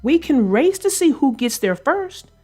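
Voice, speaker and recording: Little storybook steam engine, boyish, eager and earnest, lively and high, played by a woman. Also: measured pace, faint music behind.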